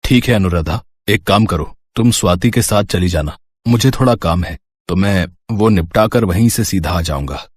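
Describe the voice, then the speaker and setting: A man playing an even-tempered working husband in an animated moral story. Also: deep voice, moderate pace, dry recording, clean studio sound. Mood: matter-of-fact.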